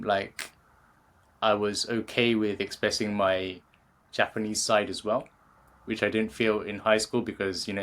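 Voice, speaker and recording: Low-voiced British man, hesitant. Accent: British English. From England. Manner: hesitant, many fillers and restarts. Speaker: guest, creativity coach. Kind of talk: casual interview conversation.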